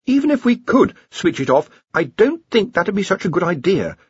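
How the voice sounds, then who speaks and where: A jittery young wizard scholar, put on by a male narrator speaks high and haltingly. He is deferential and anxious, with lively swoops and a husky grain. The close, dry audio is a touch muffled. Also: dry recording, full character voice. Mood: worried reasoning. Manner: hesitant, anxious, mumbling, polite.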